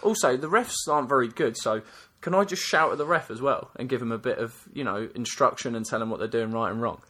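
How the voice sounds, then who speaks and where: A man's voice: medium pitch, fast talker. A man who coaches youth soccer, co-hosting a casual chat, teasing his partner and then explaining things steadily. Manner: playful, teasing; explanatory, steady when giving advice.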